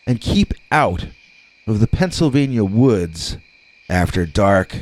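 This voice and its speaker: A man reading scripted scary stories as a narrator, with dramatic, deliberate delivery and drawn-out words. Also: lowish voice, very animated, rough recording.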